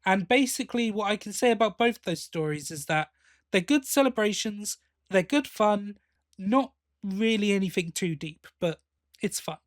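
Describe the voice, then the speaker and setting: A man's voice: sits high, normal speed, dry recording, clean studio sound. A man co-hosting a podcast in casual review chat, often hesitating and correcting himself as he goes. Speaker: podcast co-host.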